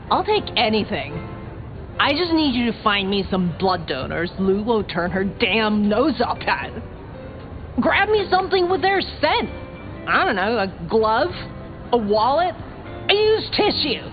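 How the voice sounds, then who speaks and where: A woman's voice: fairly high pitch, big pitch swings, unhurried. An actress playing a brash, sarcastic young female vampire in a video game, a scrappy small-town newcomer who talks in slangy, sardonic, sweary bursts. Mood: frank, frustrated. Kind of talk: video game character dialogue. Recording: dull game audio under clear music.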